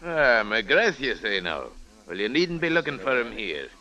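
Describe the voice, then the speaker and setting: An actor playing a crafty old waterfront dice-game runner with folksy, sailor-like phrasing in an old radio drama. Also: male voice, medium pitch, sing-song, a little slow, pushed and bright. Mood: wary, sly. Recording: vintage radio recording with background crowd.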